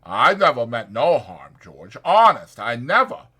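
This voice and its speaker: Big childlike farm laborer performed by a male reader, slow-witted and pleading, bright, swooping rustic delivery. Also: medium pitch, sing-song, breathy edge, voice pushed up, heavily acted. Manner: pleading, slow-witted, rural American dialect. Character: childlike, timid, simple-minded big male ranch hand.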